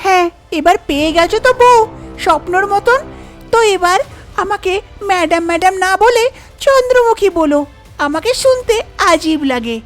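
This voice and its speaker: A woman's voice: very high-pitched, expressive, normal speed, soft background music, voice pushed up. A woman voicing a frank, coquettish young wife in a cartoon tale, bossy and insistent in manner. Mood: demanding.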